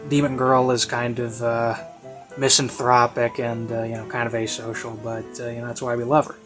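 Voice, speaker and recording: A man giving a casual, rambling spoken review in a hesitant, halting way, as if thinking aloud. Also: medium pitch, completely flat delivery. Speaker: reviewer of audio series. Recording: classical music playing behind. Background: classical music.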